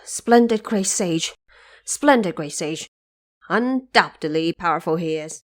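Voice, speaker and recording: A woman's voice: on the low side, lively intonation, measured pace. A woman playing a defeated, dutiful young warrior prince who speaks formally and earnestly. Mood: weary, awed.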